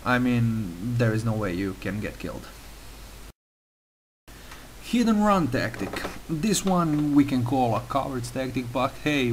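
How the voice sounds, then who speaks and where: A young man with a Croatian accent narrates a game tutorial at a mid-range pitch, working through his sentences with frequent pauses and filler sounds. A faint hiss sits under his voice. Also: low-quality recording. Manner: careful non-native phrasing with frequent uh fillers. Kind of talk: gameplay tutorial voice-over.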